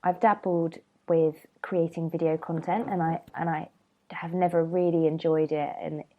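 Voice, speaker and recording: A woman's voice: low-pitched, flat delivery, moderate pace, phone-quality sound. A woman hosting a casual solo podcast, rambling and chatty with lots of ums, sounding a bit nasal from a cold. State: has a cold, slightly nasal. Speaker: podcast host.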